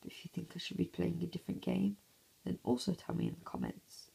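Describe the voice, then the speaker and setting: Hoarse, gravelly half-whispering young man. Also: sits high, huge pitch swings, a little fast, dark tone, poor audio. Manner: half-whispering to avoid waking sleepers. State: hoarse, croaky voice. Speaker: gameplay commentator. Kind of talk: casual mobile gameplay commentary. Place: home, early morning. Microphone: phone.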